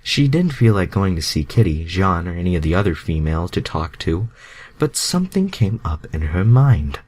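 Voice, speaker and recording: A man's voice: deep voice, big pitch swings, normal speed, a little mellow. A man narrating fan fiction casually as an amateur reader, stumbling over words and dropping sweary asides.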